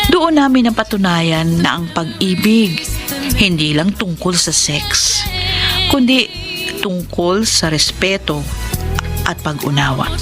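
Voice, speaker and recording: A devoted wife in a Filipino radio drama. She speaks in a slightly low voice, sincerely and with gentle emphasis. Music is clearly heard beneath her reassuring words. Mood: heartfelt, reflective. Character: devoted, reassuring woman in love, later caring wife. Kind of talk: Filipino radio drama acting and letter narration. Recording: music under the voice.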